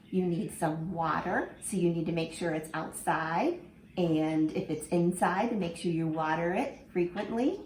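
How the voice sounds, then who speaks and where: A woman teacher reading a picture book aloud to children in an animated way, stopping to ask them questions. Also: fairly low pitch, slight background noise. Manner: animated, asks the listener questions. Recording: echoey room with faint ambience.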